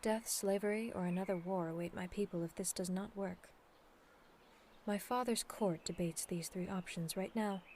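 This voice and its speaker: A woman playing a dignified, earnest demon princess in a scripted ASMR audio roleplay, speaking in a formal, measured, persuasive way. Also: medium pitch, fairly even intonation, darkish tone, a little hiss or hum, natural voice. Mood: sincere, pleading. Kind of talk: scripted ASMR roleplay acting, addressed to the listener.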